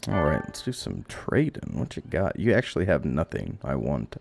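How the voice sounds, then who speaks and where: Very deep, gravelly man rambling over gameplay. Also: huge pitch swings. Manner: rambling, halting, sweary, talks to himself. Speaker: game streamer. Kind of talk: casual gameplay commentary, thinking aloud. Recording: audible background noise, clicks.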